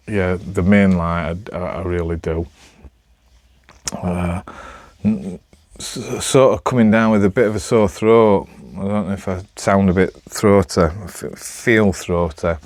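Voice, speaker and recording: A man's voice: low voice, a little mellow, no room sound. A man with a Northern English accent chatting casually to camera, rambling in a hesitant, self-deprecating way. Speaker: amateur vlogger.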